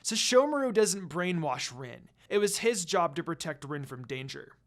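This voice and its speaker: A storyteller voicing a bold, protective teenage girl who speaks defiantly and forcefully in a story reading. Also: medium pitch, big pitch swings, normal speed. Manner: forceful, warning.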